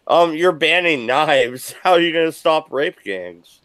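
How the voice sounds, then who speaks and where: Brief: tipsy American guy on a live stream, slurring a bit, animated and slow-spoken. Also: on the high side, clear, resonant tone, dry recording. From United States. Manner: tipsy, slurring, swearing, laughing. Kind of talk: casual drunken banter.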